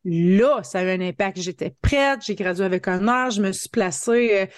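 A woman's voice: medium pitch, normal speed, dry recording. Chatty Franco-Ontarian woman, dry close sound. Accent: Franco-Ontarian French. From northern Ontario, Canada. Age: 30s. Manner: colloquial, peppered with English words. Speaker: podcast guest, marketing coach. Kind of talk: casual conversational storytelling.

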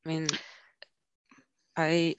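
A woman's voice: low voice, monotone, very slow, highs cut off, noisy background. A woman speaking as a panelist in an earnest, conversational way, often saying "you know". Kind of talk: panel discussion.

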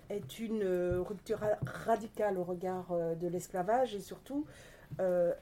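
A woman's voice: medium pitch, dark tone, slight background noise. A woman moderating a panel, introducing a guest and asking him a question with some fillers and restarts.

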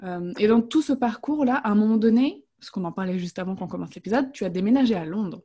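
Female interviewer, casual and attentive, relaxed mellow timbre, slightly raspy edge. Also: mid-range voice, quick pace. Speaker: podcast host.

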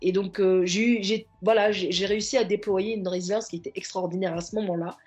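A woman's voice: medium pitch, fairly even intonation, fairly quick, darkish tone, highs cut off, soft background music. A woman therapist and coach, a podcast guest, speaking earnestly in reflective interview talk and often circling back to find her words. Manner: earnest, searching for words, stacking restarts.

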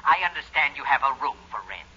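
An actor playing a timid, polite, befuddled gentleman in his forties with a raspy voice in a 1940s radio comedy. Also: medium pitch, animated, fast, heavily acted. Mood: flustered, polite. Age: 40s. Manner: fussy, hesitant, polite. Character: timid, polite, befuddled forty-one-year-old gentleman. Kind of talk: 1940s radio sitcom acting. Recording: muffled 1940s radio recording.

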